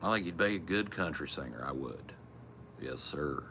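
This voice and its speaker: A man with a very low, gravelly voice that carries a sharp, forceful edge. He talks in a loose, rambling podcast style, swearing freely as he goes. A faint hiss sits under him. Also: expressive, normal speed. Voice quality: deep voice. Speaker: podcast co-host. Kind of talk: casual podcast chat.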